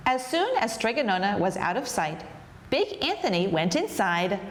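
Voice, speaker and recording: A woman storyteller reading a children's book aloud in an expressive, measured way. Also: medium pitch, reverberant, slight background noise. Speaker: storyteller, show host. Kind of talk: children's read-aloud narration.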